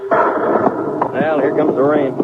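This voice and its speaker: A man's voice: on the high side, little pitch movement, drawn-out words, very noisy. An actor playing a stern male trail boss and older brother who talks in a firm, blunt way. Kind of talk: 1950s radio drama acting. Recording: tinny lo-fi old radio broadcast.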